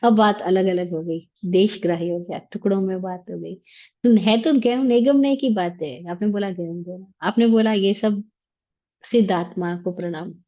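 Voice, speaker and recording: A woman teaching a scripture class in a conversational way, asking rhetorical questions and dropping in English phrases. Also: medium pitch. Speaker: Jain religious teacher. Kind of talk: lecture on scripture. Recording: slightly muffled, lo-fi.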